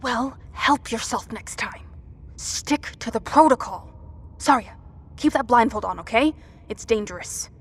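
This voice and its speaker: A woman's voice: fairly high pitch, animated, a little slow, a little hiss or hum. A woman playing a tense, protective survivor who gives firm, clipped instructions in an audio drama. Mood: angry, firm. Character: tense, commanding woman protecting her companions in disaster.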